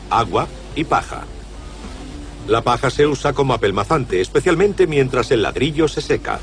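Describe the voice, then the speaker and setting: A man reading scripted documentary narration as the narrator. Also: medium pitch.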